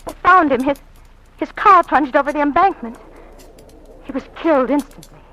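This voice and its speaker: A woman's voice: high voice, moderate pace, sharp tone, dull audio. An actress playing an anxious, secretive politician's wife in an old radio drama, hesitant and genteel in her speech. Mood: distraught. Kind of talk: 1940s radio drama acting, melodramatic. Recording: faintly noisy old radio recording.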